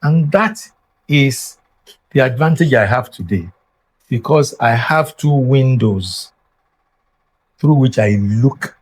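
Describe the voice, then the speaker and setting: A man's voice: mid-range voice, lively intonation, slow pace, long pauses, husky. An older man from Nigeria with a Nigerian accent and Yoruba as his first language, speaking as a guest scholar in an interview in an emphatic, lecturing way that repeats words for stress. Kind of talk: reflective interview talk.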